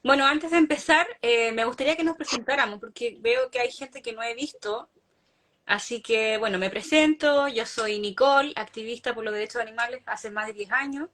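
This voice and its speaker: A woman's voice: on the high side, a little fast, fairly bright tone. A woman, an animal rights activist, giving an earnest explanation of her views on an online video call. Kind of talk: casual online talk.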